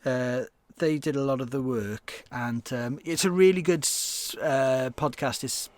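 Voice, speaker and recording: A man's voice: medium pitch, unhurried. A Welsh man in his forties, a Welsh speaker and radio presenter, talking casually as a podcast guest with plenty of fillers and restarts. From Wales. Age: 40s. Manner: rambling, many fillers and restarts. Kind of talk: casual conversation.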